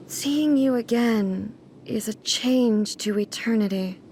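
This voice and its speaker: A woman playing a regal, solemn immortal ruler in a video game, speaking in a measured, formal way. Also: a little high, a little mellow, a little hiss or hum. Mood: wistful, tender. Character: solemn, regal immortal female ruler, stern yet softening. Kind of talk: video game cutscene acting.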